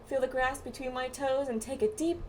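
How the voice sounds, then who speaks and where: A woman's voice: sits high, fairly even intonation, normal speed, lightly acted. A woman voicing a wistful, earnest bodiless spirit from a game, with tender delivery. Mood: tender longing. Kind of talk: reading game dialogue aloud. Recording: clear background noise.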